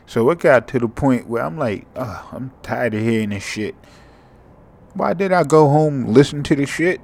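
A sleepy young man with a monotone, mumbly voice, hosting a rambling, profane solo podcast. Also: mid-range voice, moderate pace, vocal fry. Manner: casual, profane, drifting from thought to thought. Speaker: podcast host.

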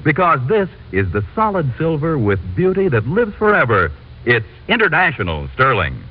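A man's voice: fairly high pitch, wildly swooping pitch, moderate pace, music in the background. A man announcing and reading advertising copy in the style of 1940s radio. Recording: tinny 1940s radio recording.

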